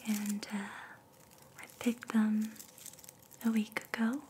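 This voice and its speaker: A woman doing ASMR as a reiki guide, speaking in a soft, breathy whisper with a gentle, soothing manner. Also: fairly high pitch, level tone, slow, a little hiss or hum.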